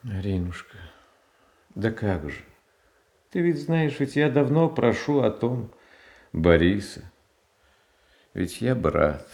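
An actor playing a meek, childlike tsar, speaking softly and pleading, flaring into hurt protest in a Soviet-era radio drama. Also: male voice, fairly low pitch, very animated, slow pace, vocal fry, long pauses, full character voice. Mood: mild. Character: gentle meek young tsar Fyodor.

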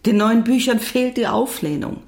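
An actress playing a stern, earnest writer's wife who admonishes in precise speech in a radio drama. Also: female voice, fairly high pitch, normal speed, a little mellow, close to an everyday voice. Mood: stern, insistent.

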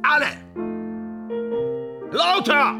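A man's voice: on the high side, very animated, unhurried, music in the background. A man in a German radio play, playing a grandiose, self-deluding film actor who declaims in exalted, repetitive phrases.

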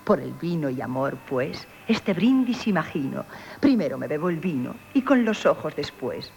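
An actress playing a coquettish, self-assured innkeeper in Castilian Spanish radio theatre, teasing and mock-demure. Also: female voice, low voice, big pitch swings, normal speed, gravelly, a little mellow, muffled recording, faint music behind, exaggerated voice. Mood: flirtatious. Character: coquettish, sly, self-assured female innkeeper charming men. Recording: noisy old radio drama recording.

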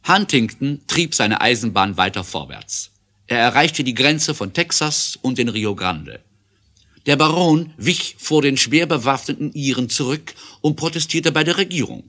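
A man's voice: mid-range voice, lively intonation, normal speed, pushed and bright. A man narrating a 1970s German adventure audio drama as a matter-of-fact storyteller.

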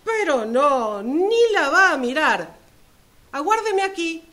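High, swooping, discreet woman shopkeeper acted. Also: very animated, slow, sharp tone, exaggerated voice. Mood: brisk, dismissive. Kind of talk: amateur radio play acting.

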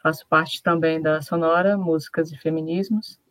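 A woman's voice: low-pitched, steady tone, moderate pace, dry recording. A woman artist and composer briefly introducing herself on a video call. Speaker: artist, composer and music researcher. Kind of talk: short self-introduction in a virtual meeting.

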